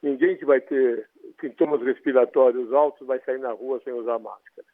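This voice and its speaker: A man with a Brazilian Portuguese accent, an infectious disease doctor calmly explaining in a radio interview by phone. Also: medium pitch, moderate pace, no room sound. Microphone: telephone. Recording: tinny phone line.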